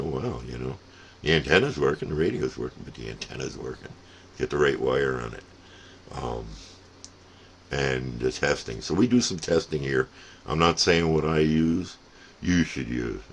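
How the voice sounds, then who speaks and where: Very deep, gravelly older man. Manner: folksy, digressive, repeats words for emphasis. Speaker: CB radio hobbyist giving advice. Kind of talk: casual rambling hobby vlog monologue. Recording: noticeable steady background noise.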